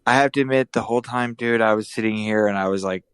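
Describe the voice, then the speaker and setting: A man's voice: fairly low pitch, fast talker, clean tone, no echo. A man hosting a casual podcast, rambling and joking with his co-host, often restarting his sentences.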